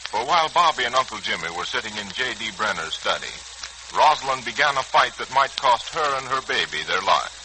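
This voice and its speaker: Casting: formal male serial narrator, medium-pitched, old hissing broadcast recording. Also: very animated, a little fast, pushed and bright, rough recording. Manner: dramatic, formal. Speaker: announcer and narrator of a radio serial. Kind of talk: 1940s radio scripted narration.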